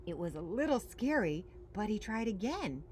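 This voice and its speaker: A woman's voice: medium pitch, big pitch swings, moderate pace, darkish tone. A woman reading a children's picture book aloud as a story-time reader, expressively and with dramatic emphasis. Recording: faint background noise.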